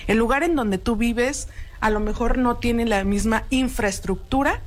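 Woman, 30s, talk-show guest, earnest explainer, slightly high voice. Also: measured pace. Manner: earnest, explanatory, frequent tag questions. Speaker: guest expert. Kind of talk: radio talk show discussion.

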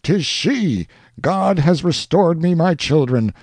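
A man voicing an older fort commander who shouts orders in a theatrical, melodramatic way. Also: fairly high pitch, expressive, slow, no echo, voice pushed up, exaggerated voice. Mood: anguished.

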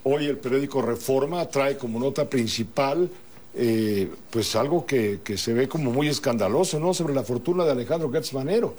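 A man's voice: medium pitch, normal speed, a little mellow, a little hiss or hum. A man hosting a news program, interviewing a guest with pointed, teasing questions. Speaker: radio news host.

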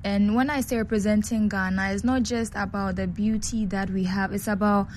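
A woman's voice: mid-range voice, steady tone, moderate pace, a little hiss or hum. A woman guest on a radio show who heads media and public relations, speaking in a composed, articulate way and with conviction.

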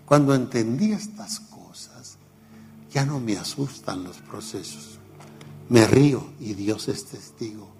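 A man's voice: medium pitch, animated, slow pace, a little mellow, soft background music. A man in his seventies preaching a radio sermon, reflective and storytelling, chuckling at himself. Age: 70s.

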